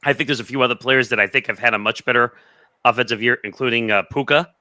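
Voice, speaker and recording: A man's voice: mid-range voice, fast, pushed and bright, dry recording, clean studio sound. A man giving his opinions as a panelist in a casual sports debate.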